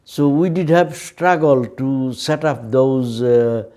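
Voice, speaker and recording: Halting older Bangladeshi man, mellow and unhurried. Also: mid-range voice. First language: Bengali. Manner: halting, hesitant, repeating words in simple phrasing. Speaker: oral history interviewee. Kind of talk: recollection in an interview.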